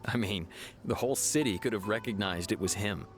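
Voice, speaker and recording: A man plays a stern, confident officer who explains the case plainly. His voice sits somewhat low, and game music is clearly heard behind him. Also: fairly quick, close to an everyday voice. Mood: firm. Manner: firm and matter-of-fact. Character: stern, self-assured law officer. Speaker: official pursuing a suspect. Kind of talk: video game voice acting.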